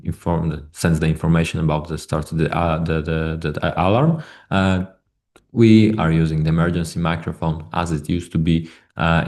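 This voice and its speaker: Very deep-voiced man, halting presenter. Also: a little mellow. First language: not English. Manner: halting, with many hesitations and repeated words. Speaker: company webinar presenter. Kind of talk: technical product presentation.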